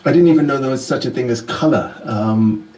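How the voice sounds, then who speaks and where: A man who grew up in Ghana, calling in to a radio show with an earnest, measured, heartfelt message. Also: mid-range voice, a little mellow, echoey room, distant mic, low-quality recording. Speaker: caller sending a message to the show.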